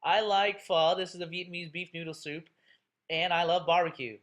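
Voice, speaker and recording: A man's voice: high voice, fairly even intonation, moderate pace, a bit bright. A man in his thirties, a college instructor introducing himself to his class in a chatty, joking way with lots of fillers. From Texas, USA.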